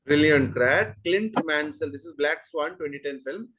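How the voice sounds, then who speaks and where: A man's voice: on the high side, lively intonation, normal speed, fairly bright tone. A man with an Indian English accent hosting an online quiz, reading questions steadily and chatting between answers. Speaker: quizmaster.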